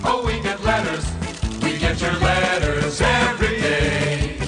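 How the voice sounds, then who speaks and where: A woman's voice: fairly low pitch, expressive, a little slow. A woman singing a jingle with music behind her. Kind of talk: sung jingle. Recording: loud music backing.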